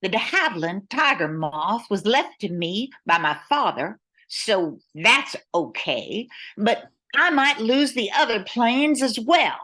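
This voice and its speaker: A woman's voice: medium pitch, big pitch swings, slow pace, hardly any pauses, pushed and bright, full character voice. A woman playing a capable but worried airline owner and pilot, reading earnestly in a radio play. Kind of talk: old-time radio play acting.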